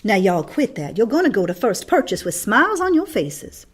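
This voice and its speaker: A woman's voice: mid-range voice, very animated, moderate pace, slightly rough, pitched above the performer's own voice. A woman voicing a stern, protective Southern housekeeper in a storybook, firm and scolding.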